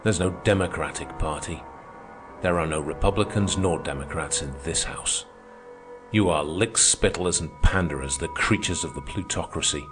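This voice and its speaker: A man's voice: deep voice, normal speed, lightly acted. Man voicing a fiery scornful orator. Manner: scornful, declamatory, thundering. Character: fiery defiant male socialist orator. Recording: music playing underneath.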